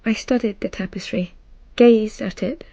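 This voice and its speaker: A woman playing a wistful young bride who recalls her past in a measured, reflective radio drama monologue. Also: medium pitch, steady tone, creaky, mellow, no room sound, muffled recording.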